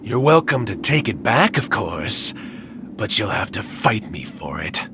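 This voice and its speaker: An actor playing a mocking, bullying convict in a game, with a gravelly voice and a sly, leisurely, taunting manner. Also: male voice, on the low side, sing-song, measured pace, noisy background. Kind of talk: dramatic game dialogue.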